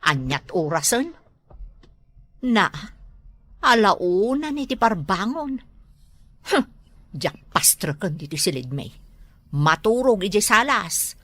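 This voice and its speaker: A woman's voice: medium pitch, very animated, takes it slowly, long pauses, fairly bright tone, no echo, full character voice. A woman playing an exasperated younger wife in a comedy drama, complaining and scolding with a northern Philippine accent. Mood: suspicious. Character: exasperated nagging younger wife of a lazy husband.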